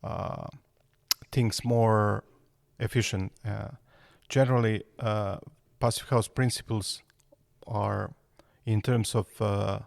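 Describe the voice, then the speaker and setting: Brief: male guest in his fifties, slow and halting, gravelly low voice, dry close sound. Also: steady tone, dry recording. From Serbia. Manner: hesitant, frequent fillers. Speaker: guest architect. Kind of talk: podcast interview.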